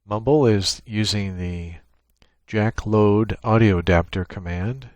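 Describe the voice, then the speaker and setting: A man with a deep voice talks through a software setup as a presenter. He explains in a plain, matter-of-fact way, unscripted. The sound is dry, recorded on a USB microphone. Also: slow, no room sound. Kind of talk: unscripted screen-share walkthrough. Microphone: USB microphone with gate and compressor.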